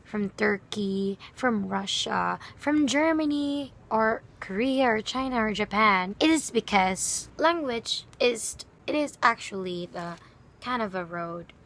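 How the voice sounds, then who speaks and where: A woman's voice: medium pitch, expressive, takes it slowly, a bit bright. Bubbly young Filipino woman vlogging. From Philippines. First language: Bisaya. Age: young adult. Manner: bubbly, chatty, giggly. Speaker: student vlogger. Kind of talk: casual vlog talk to camera.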